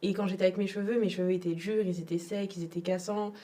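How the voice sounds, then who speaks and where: A woman's voice: on the low side, fairly even intonation, fast talker, dark tone, distant mic. A young adult woman from Togo, telling her personal story as an interview guest in a reflective, hesitant way. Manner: reflective, repeats words, frequent hesitations.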